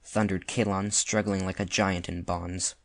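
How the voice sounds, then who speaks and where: Very deep male audiobook narrator. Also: level tone, measured pace, no room sound, studio quality. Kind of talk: scripted literary narration.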